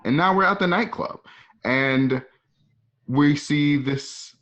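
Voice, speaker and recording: A man's voice: fairly high pitch, takes it slowly, a bit bright. A man narrating scene directions informally, with casual conversational asides. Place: at home.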